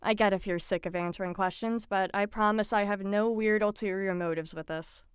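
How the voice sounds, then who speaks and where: A woman's voice: medium pitch, fairly even intonation, brisk, smooth, no echo, studio quality, lightly acted. A woman playing a friendly, slightly awkward patient supervisor in an audio drama, talking in an eager, reassuring way.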